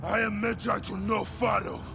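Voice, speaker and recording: A man playing a vengeful, menacing Egyptian warrior in a video game, with slow, intense, threatening delivery. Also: sits high, little pitch movement, gravelly. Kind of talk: cinematic video game acting.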